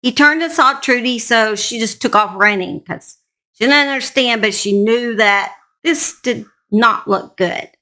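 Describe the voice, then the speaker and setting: A woman's voice: a little high, measured pace, sharp tone. A woman host narrating a true crime story in an informal, plain-spoken, conversational way, speaking straight to her listeners.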